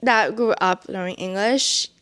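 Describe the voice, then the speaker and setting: Soft-spoken young woman podcast host. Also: mid-range voice, measured pace. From Massachusetts, US. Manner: soft-spoken, reflective, with many ums. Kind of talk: casual podcast chat.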